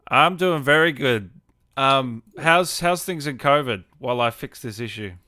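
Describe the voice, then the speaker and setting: A man hosts a live stream in casual chat, with a bright, forward voice that is clean and resonant. He talks informally and chummily, and the sound is close and dry. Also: medium pitch, animated, unhurried, no echo. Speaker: streamer host.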